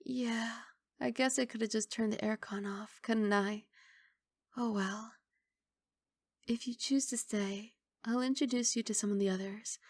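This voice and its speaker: A woman voicing a kindly young giant dragon girl in an ASMR roleplay, speaking in a soft, coaxing, reassuring and slightly bashful way. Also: on the high side, fairly even intonation, unhurried, no echo. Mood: sleepy, hushed.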